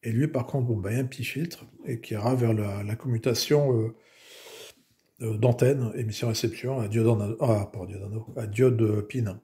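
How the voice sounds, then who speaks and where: A hoarse man casually showing his homemade radio modules, talking with many fillers and restarts. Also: mid-range voice, steady tone, moderate pace, warm tone. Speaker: amateur radio builder. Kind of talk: casual technical show-and-tell.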